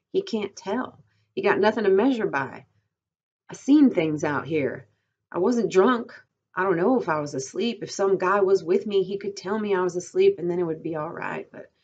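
A woman's voice: medium pitch, darkish tone, breathy edge, dull audio, pitched above the performer's own voice, close to an everyday voice. A woman voicing a lonely, bitter, needling male stable hand in a story reading, using rustic dialect with a pressing, brooding delivery.

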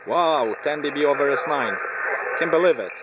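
A man's voice: on the high side, moderate pace. A man operating amateur radio from a pickup truck, repeating his phrases, recorded on a handheld device. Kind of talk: radio callsigns and excited handheld vlog commentary. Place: inside a pickup truck at night. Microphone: handheld camera or phone. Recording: noisy vehicle cabin with radio static.

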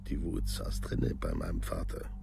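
An actor playing a plain-spoken, worn-down parent in a documentary radio drama, talking in halting, clipped colloquial phrases. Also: male voice, extremely low pitch, sing-song, vocal fry, loud music behind the voice, exaggerated voice. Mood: somber, haunted. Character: parent looking back on family hardship, plain-spoken, worn down.

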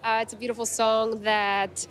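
A woman from Guatemala in her thirties, talking into a handheld mic held out by an interviewer on an awards red carpet. Her voice sits a bit high, and her answers come easy and warm with small laughs. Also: flat delivery, normal speed. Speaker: nominated musician guest.

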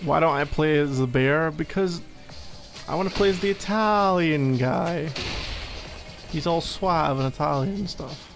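A man's voice: a little high, a little slow, vocal fry, over music. A man playing a needy, playfully exasperated gamer boyfriend in a casual, intimate ASMR roleplay, teasing as he talks. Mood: playful exasperation. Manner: teasing, mock-exasperated, conversational.

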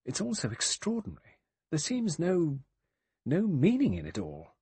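Man as an anxious, husky, high-pitched young woman. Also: wildly swooping pitch, normal speed, warm tone, dry recording, full character voice. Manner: hesitant, anxious, faltering. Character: nervous, panicky young woman fighting for composure.

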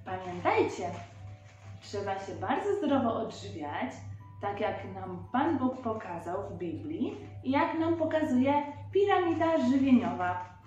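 A woman's voice: a little high, big pitch swings, unhurried, a little mellow, dull audio. A young woman presenting a children's lesson, explaining simply and prompting with questions. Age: young adult. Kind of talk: child-directed teaching. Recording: very echoey room, faint background music.

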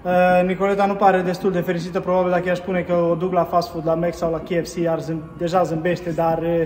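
A man's voice: high-pitched, level tone, darkish tone. A young man vlogging casually to camera in a gym as a fitness trainer, upbeat and persuasive, talking straight to his viewers. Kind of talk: casual vlog talk to camera. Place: large gym indoors. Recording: echoey gym, voice from a distance.

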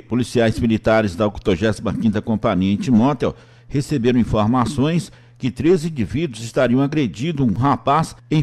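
A Brazilian man with a Brazilian Portuguese accent, reading a police news bulletin in a formal, measured way. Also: medium pitch, a little mellow. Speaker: reporter and announcer.

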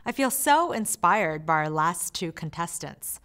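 A woman's voice: on the low side, very animated, measured pace, fairly bright tone. Woman host with handheld mic, upbeat, scripted, talking to the audience. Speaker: event host. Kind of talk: scripted event hosting. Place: studio stage.

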